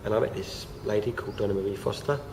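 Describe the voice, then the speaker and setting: A young man, croaky from feeling unwell, talking casually to camera with many fillers and restarts. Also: on the low side, quick pace. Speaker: vlogger. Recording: noisy home recording.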